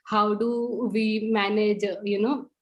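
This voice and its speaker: A woman's voice: mid-range voice, level tone, slow. A woman hosting an interview, telling personal stories with some fillers. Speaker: host. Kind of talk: interview conversation.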